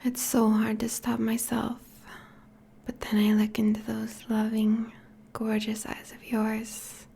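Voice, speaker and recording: Woman playing a breathy, whispering vampire girlfriend. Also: fairly high pitch, completely flat delivery, full character voice. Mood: loving, intimate. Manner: slow, soft, coaxing. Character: possessive, teasing, tender vampire girlfriend. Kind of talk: intimate whispered ASMR roleplay.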